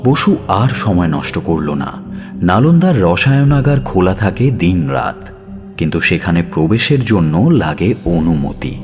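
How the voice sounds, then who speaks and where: A man narrating a scripted audio story in a measured, dramatic style. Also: low-pitched, big pitch swings. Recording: music bed under narration.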